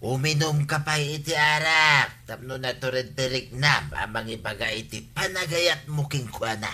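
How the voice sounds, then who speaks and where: An actor with an Ilocano accent playing a scheming, meddling older uncle in a comedy radio drama, coaxing and insistent. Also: male voice, medium pitch, moderate pace, sharp tone, a little hiss or hum. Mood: urging.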